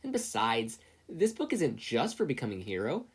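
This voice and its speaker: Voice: mid-range voice, huge pitch swings, normal speed, slightly rough, heavily acted. A reader voicing an innocent, earnest young teenage boy in a story reading. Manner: earnest, pleading.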